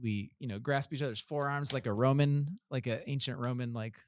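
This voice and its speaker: A man's voice: mid-range voice, steady tone, a little fast, clean tone, dry recording, studio quality. Nerdy, chatty pastor man. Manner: nerdy, self-deprecating, chatty. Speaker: pastor and podcast regular. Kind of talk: casual church podcast chat.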